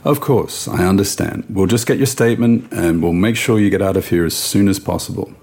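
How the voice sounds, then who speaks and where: An actor playing a calm, courteous police detective in an audio drama, measured and soothing as he asks questions. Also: deep voice, gravelly. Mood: courteous, reassuring. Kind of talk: naturalistic audio drama acting.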